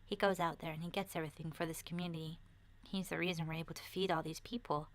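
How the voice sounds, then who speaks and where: Woman doing a soft whispery kid girl in zombie apocalypse asmr, kinda low voice, chatty and rambling, stumbles over words. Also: fairly even intonation, quick pace, close to an everyday voice. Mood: chatty, candid. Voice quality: soft, breathy, often slipping into whispers. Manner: hesitant, rambling, youthful casual phrasing. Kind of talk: soft-spoken ASMR roleplay monologue.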